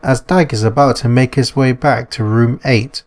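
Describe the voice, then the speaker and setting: A man's voice: medium pitch, steady tone, moderate pace. A man reading scripted narration for a visual novel as its narrator. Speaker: narrator and author. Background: music.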